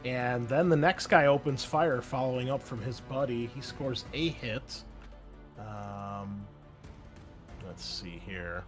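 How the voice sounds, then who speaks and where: Young man, game master, rambling chatty narration, mid pitch, faint background music. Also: takes it slowly. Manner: loose and chatty, many ums and uhs, swears casually. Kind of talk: narrating a tabletop game over voice chat.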